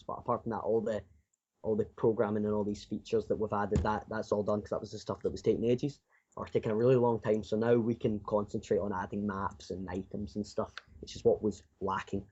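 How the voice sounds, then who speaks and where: A man's voice: fairly low pitch, level tone, fairly quick, mellow, slightly rough. Teen guy in a casual interview, rambles and repeats himself a lot, muffled lo-fi sound. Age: 16, teenager. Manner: rambling, repeats and restarts phrases. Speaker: self-taught indie game developer being interviewed. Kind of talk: casual unscripted interview answers.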